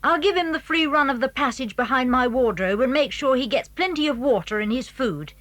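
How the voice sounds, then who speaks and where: A woman's voice: sits high, animated, brisk, a bit bright, no room sound. A woman playing an eager, confident English schoolboy in a children's audio drama. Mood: confident, brisk.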